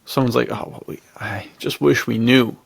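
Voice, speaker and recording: A man's voice: medium pitch, fairly even intonation, normal speed, vocal fry, slightly rough, dry recording. A man co-hosting a podcast, chatting casually and enthusiastically in slang as he retells scenes. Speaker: podcast co-host.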